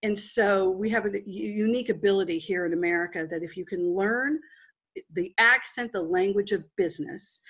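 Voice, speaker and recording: Husky-voiced woman on a video call. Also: mid-range voice, moderate pace, fairly bright tone. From Texas. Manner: frank and rambling, with restarts. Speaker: business guest. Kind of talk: candid interview over video call. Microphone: headset, video call.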